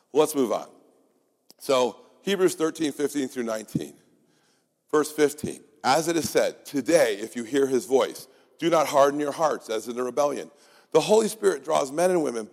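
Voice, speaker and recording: Raspy-voiced man preaching in a hall. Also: medium pitch, a little mellow. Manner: earnest, exhorting, with restarts. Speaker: pastor. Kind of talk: sermon. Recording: long hall reverb.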